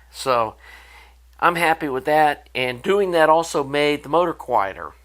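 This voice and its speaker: A man's voice: mid-range voice, a bit bright. A man giving an informal, hands-on repair walkthrough in an unscripted, conversational way, like a hobbyist presenter.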